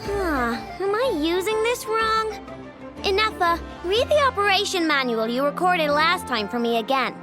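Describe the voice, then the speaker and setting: Very high-pitched spirited little girl. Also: lively intonation, moderate pace. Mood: eager, curious. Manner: breezy, boastful, coaxing. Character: spirited young girl inventor, stubborn and chatty. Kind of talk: video game voice acting. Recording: background music behind the voice.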